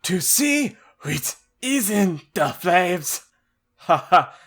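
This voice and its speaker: A man's voice: high voice, very animated, drawn-out words, fairly bright tone, voice pushed up, heavily acted. A man voicing an eccentric, jokey old wizard headmaster in a comic audiobook, with hammy delivery. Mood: jokey, cryptic.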